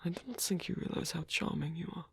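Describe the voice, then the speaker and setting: A man playing a lonely, tender old vampire with a German accent in an ASMR roleplay, speaking softly and haltingly with formal phrasing. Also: fairly high pitch, no echo, full character voice. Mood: tender, fond. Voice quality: breathy, husky. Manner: hesitant, halting, formal phrasing, soft-spoken.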